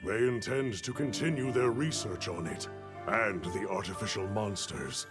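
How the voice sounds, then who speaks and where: An actor playing a grave, formal older commander in a fantasy game, speaking with deliberate authority and a gravelly voice. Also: male voice, fairly low pitch, close to an everyday voice. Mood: firm. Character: grave, measured older male commander of a hunters' guild. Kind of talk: cinematic fantasy game acting. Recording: music behind the voice.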